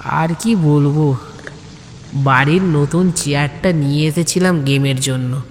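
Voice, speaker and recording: A man tells a comic story in a high-pitched, slightly slow voice. He stretches words for emphasis and slips in playful colloquial asides. Long hall-like reverb surrounds his voice. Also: slight background noise. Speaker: audio story narrator. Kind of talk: animated first-person comic story narration.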